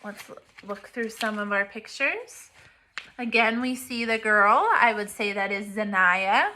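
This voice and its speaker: Female primary teacher for picture-book read-aloud: crisp bright tone, unhurried pace, simple explanations for little ones. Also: medium pitch. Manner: simple, deliberate explaining to young children. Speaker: elementary teacher reading aloud.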